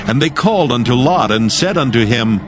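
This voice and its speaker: A man's voice: mid-range voice, animated, a little fast, crowd noise. Formal male scripture narrator over music.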